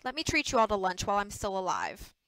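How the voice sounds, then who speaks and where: A woman's voice: medium pitch, wildly swooping pitch, quick pace, fairly bright tone, no room sound. A woman voicing a cocky young male soap star with a flippant, boastful manner in an audiobook. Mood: flippant teasing.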